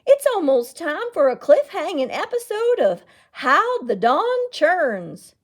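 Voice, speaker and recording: A woman's voice: extremely high pitch, big pitch swings, slow, voice pushed up, full character voice. A woman voicing a curious, earnest young boy in a storybook, with a wondering, eager manner. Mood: authoritative.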